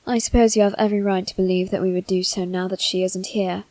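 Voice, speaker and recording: A woman voicing a male demon servant in a scripted ASMR roleplay, speaking formally and courteously with soft, flirtatious teasing. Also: medium pitch, level tone, quick pace, no room sound, close to an everyday voice. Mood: calm, wistful.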